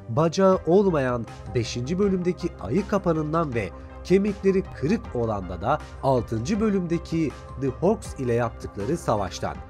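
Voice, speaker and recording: A man's voice: on the high side, darkish tone. A man reading scripted recap and review narration as a video narrator. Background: background music.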